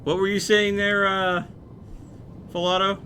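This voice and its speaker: Man chatting on a rumbly gaming livestream. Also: little pitch movement, measured pace, sharp tone, a little hiss or hum. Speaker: live streamer. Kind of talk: casual gaming livestream chat.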